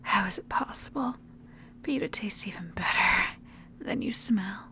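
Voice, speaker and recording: A woman voicing a seductive, predatory, ancient vampire in a breathy, intimate whispered ASMR roleplay. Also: medium pitch, sharp tone. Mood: hungry, tender. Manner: slow, intimate, menacing endearments.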